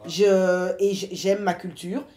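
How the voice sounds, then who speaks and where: A woman's voice: mid-range voice, fairly even intonation, takes it slowly, mellow, roomy sound. A woman from Belgium moderating an informal group debate, assertive and insistent, repeating her point.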